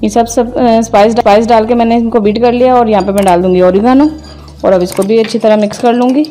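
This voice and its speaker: A woman hosting a home cooking video, calmly explaining each step as she cooks in her kitchen. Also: fairly high pitch, fast talker, soft background music. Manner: calm, methodical, names each step as she works. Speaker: cooking channel host.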